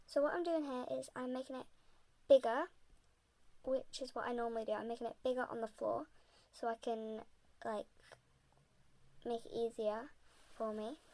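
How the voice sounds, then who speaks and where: A young woman, a bit sick, rambling softly and often half-whispering in a casual voice-over about building in a game. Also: fairly high pitch, steady tone, normal speed.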